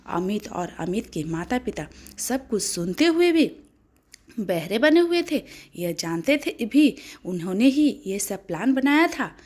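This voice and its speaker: Woman, storyteller, dramatic narration, expressive and emotive, very animated pitch, occasional stumbles. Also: unhurried.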